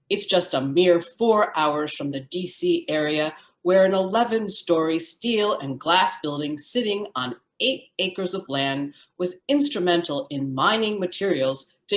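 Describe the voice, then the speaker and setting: A woman announcer reading scripted narration for a radio show. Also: deep voice. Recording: roomy, echoing sound.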